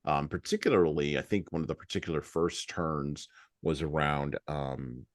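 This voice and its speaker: A man with an extremely low voice hosts a book discussion podcast. He speaks deliberately and haltingly, leaning on key words. The sound is dry, with little room in it. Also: steady tone, no room sound. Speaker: podcast host.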